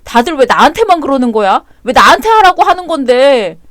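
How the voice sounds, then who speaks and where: A woman's voice: sits high, very animated, fairly quick, a bit bright, no echo, clean studio sound. Woman doing a whiny pleading husband. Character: whiny overwhelmed young father and husband.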